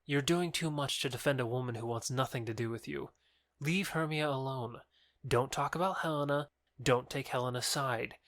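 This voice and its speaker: A man's voice: medium pitch, normal speed, a bit bright, dry recording, studio quality, natural voice. A man playing a proud young rival in love, sneering and challenging, in a modernized Shakespeare audio drama. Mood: warning.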